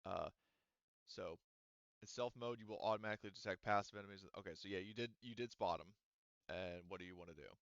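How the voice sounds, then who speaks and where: A man's voice: low voice, fast, creaky, fairly bright tone, no echo, lo-fi sound. A man running a tabletop roleplaying game as game master, casual and rambling with many fillers. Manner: rambling, lots of fillers and restarts.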